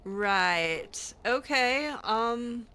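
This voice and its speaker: Bright-voiced woman role-playing in a game. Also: on the high side, lively intonation, very slow, clean tone. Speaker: gamer, role-playing her character. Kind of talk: improvised in-character game roleplay, casual. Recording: faint background noise.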